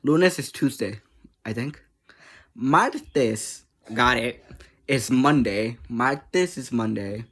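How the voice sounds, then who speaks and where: Energetic vlogger rambling to viewers, mid pitch, kinda slow paced, gets sidetracked, self-deprecating. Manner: scattered, easily distracted. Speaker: online video creator. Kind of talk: rambling casual chat to viewers.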